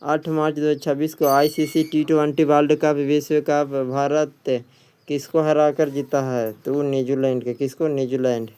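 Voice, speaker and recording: A man's voice: fairly high pitch, a little mellow, a little hiss or hum. A man working as an exam coach, reading quiz questions and answers aloud in an instructional way, often repeating them.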